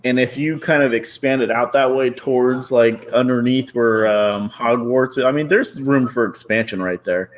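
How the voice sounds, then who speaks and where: A man's voice: medium pitch, moderate pace, few pauses, a little hiss or hum. An enthusiastic man in his early thirties, a podcast panelist in casual chat, laughing easily. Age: 30s.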